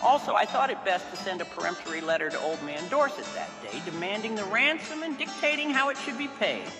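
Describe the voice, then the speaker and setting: Male narrator, fairly high pitch, wry and folksy theatrical storytelling, clear music underneath. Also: very animated, fairly quick. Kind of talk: first-person scripted narration of a classic tale. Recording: long reverb, music behind the voice.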